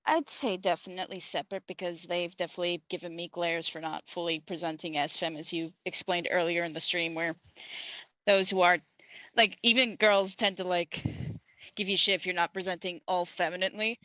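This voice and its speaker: Hesitant caller on a dull line. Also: male voice, high-pitched, fairly even intonation, a little fast, sharp tone, dry recording. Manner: hesitant, searching for words. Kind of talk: casual call-in chat.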